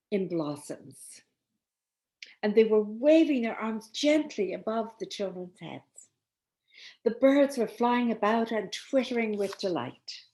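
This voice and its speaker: A woman storyteller reading a children's tale expressively, with deliberate dramatic pauses. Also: medium pitch, mellow.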